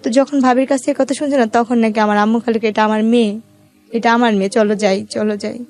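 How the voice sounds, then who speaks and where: A woman's voice: fairly high pitch, steady tone, fairly quick, darkish tone. A woman with a Bangladeshi accent telling her own life story as an interview guest, in colloquial, conversational speech.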